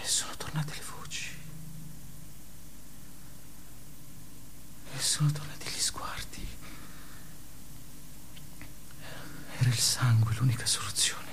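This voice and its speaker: An actor playing a wounded, despairing office worker in an intense, halting radio theatre monologue, with a creaky voice. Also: male voice, medium pitch, steady tone, in a whisper, close to an everyday voice. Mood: hushed, bitter relief. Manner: halting, intense, shifting from hushed murmurs to anguished outbursts. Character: wounded, despairing office worker recounting his suicide attempt.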